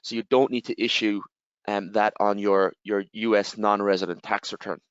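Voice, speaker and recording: A man with an Irish accent, giving a webinar presentation and explaining step by step, with steady fillers and repeated "again". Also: on the low side, normal speed, clean tone, no echo. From Ireland. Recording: slightly dull, dry webinar audio.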